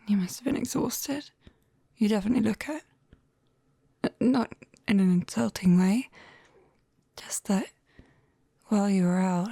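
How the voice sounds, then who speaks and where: A British woman playing a caring, clumsy young witch in a soft, often whispered ASMR roleplay, breathy and gently teasing, close to the mic. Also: a little high, dry recording, exaggerated voice. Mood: curious, musing, then gentle concern. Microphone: close binaural microphone, dry.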